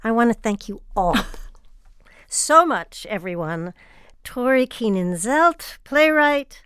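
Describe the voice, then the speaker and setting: A woman's voice: fairly high pitch, lively intonation, slow, a bit bright, clear, resonant tone, dry recording. A woman hosting a theatre podcast, interviewing guests in a studio discussion. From Transylvania family background.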